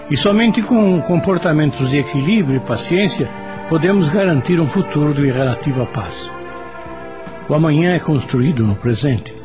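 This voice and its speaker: A Brazilian man giving scripted moral commentary in a formal, didactic manner. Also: fairly high pitch. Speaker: spiritist commentator. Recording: music behind the voice.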